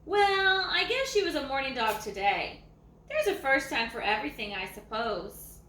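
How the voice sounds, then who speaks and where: A lazy, self-assured old hound dog from a children's storybook, voiced by a woman. The voice is high and bright, with a slow folksy delivery. It sits in an echoey room with faint noise. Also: animated. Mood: smug, folksy.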